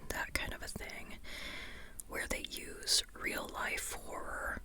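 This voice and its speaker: An ASMR host speaks in a steady whisper, taking their time over each phrase. The delivery is soft and informal, rambling along and circling back to repeat words. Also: takes it slowly. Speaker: ASMR host reviewing a book.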